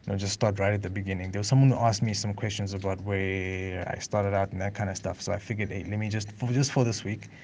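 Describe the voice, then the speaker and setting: A man's voice: fairly low pitch, fairly even intonation, fast talker, few pauses, darkish tone, slight background noise. Casual, rambling man. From South Africa. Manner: casual, rambling, many fillers and restarts. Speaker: music producer. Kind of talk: unscripted voice note monologue.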